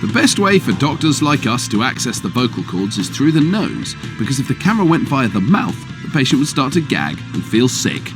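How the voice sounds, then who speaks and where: A man presenting an educational science video, explaining lively. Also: mid-range voice, fairly quick, hardly any pauses. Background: music.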